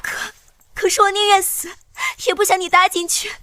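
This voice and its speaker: A woman voicing an anxious, devoted young maiden, pleading, in an animated fantasy drama. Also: very high voice, moderate pace, no echo, studio quality. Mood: worried, pleading. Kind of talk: animated xianxia drama dubbing.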